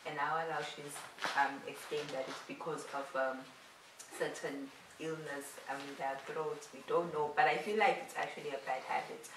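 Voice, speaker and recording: A woman's voice: deep voice, fairly even intonation, normal speed. A woman giving her frank opinion in a casual chat. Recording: echoey, distant, noisy.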